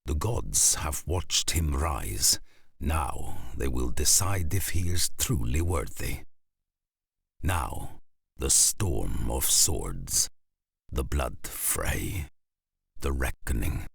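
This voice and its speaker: An actor playing a grave saga narrator in a Viking video game, gravelly and husky, with portentous, deliberate scripted delivery. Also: male voice, very deep voice, sing-song, no room sound, clean studio sound, exaggerated voice. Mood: ominous, epic.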